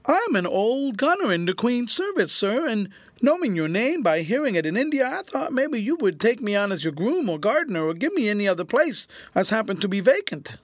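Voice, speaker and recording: A man voicing a whining, cringing old ex-soldier turned tramp, rough-spoken and slyly malicious, in a storybook reading. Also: high-pitched, very animated, a little fast, no echo, pitched above the performer's own voice. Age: older. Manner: wheedling, rough colloquial speech.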